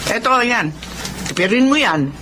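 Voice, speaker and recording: Radio drama: female actor as stern middle-aged mother, scolding, very animated pitch, over clear ambience. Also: moderate pace, close to an everyday voice. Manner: bossy, scolding. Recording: noisy background ambience.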